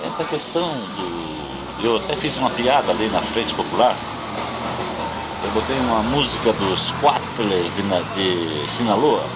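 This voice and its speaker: A man's voice: on the low side, lively intonation, measured pace, poor audio. A man with a southern Brazilian accent chatting casually about politics, often checking that he is understood. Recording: very loud music, outdoor vehicle noise.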